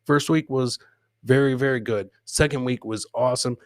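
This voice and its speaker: A man's voice: mid-range voice, moderate pace, no room sound, studio quality. A man hosting a comedy show, casually commenting on clips with crude, mocking humour and repeating his punchlines.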